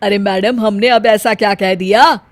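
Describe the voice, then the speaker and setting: Woman playing an aggrieved village milkman, loud. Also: mid-range voice, fairly even intonation, moderate pace, no room sound, natural voice.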